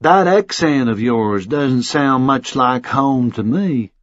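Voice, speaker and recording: Man voicing a menacing, measured Texan official. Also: medium pitch, animated, no room sound, muffled recording. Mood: cold, condescending. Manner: measured, condescending, threatening. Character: menacing, controlled Texan official, cold and formal.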